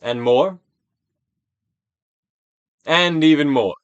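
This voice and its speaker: A man's voice: a little high, extremely slow, clear, resonant tone, no echo, highs cut off. A man giving a theatrical, declamatory dramatic reading of a self-help book, like a stage Shakespearean, drawing out phrases for emphasis. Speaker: audiobook reader, actor.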